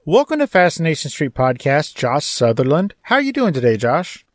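A man's voice: on the high side, sing-song, normal speed, no echo. A man speaking as a podcast host in a conversational, jokey manner, close on the microphone. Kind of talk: interview and scripted episode introduction.

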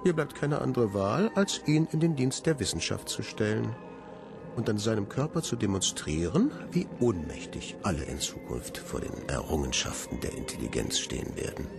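An actor playing a cold, megalomaniac scientist in a radio play, dictating in pompous, formal language. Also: male voice, on the low side, very animated, gravelly. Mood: coldly exalted. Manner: pompous, formal, deliberate wording. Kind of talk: dramatic scientific log monologue. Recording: music behind the voice.

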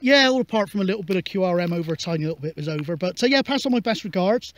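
High-voiced man chatting outdoors. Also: lively intonation, rapid-fire, no echo. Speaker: CB radio operator and vlogger. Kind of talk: casual chat and radio calls. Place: outdoors on an open moorland hilltop. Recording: outdoor recording with clear noise.

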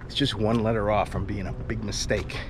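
A man's voice: lowish voice, fairly even intonation, fairly quick. A man in his forties rambling and joking through a casual walking tour vlog, filmed on an action camera held at arm's length outdoors. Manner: rambling, jokey, chatty self-talk. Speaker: vlogger. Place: outdoors on a sunny residential street. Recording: outdoor street noise. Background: street ambience and passing cars.

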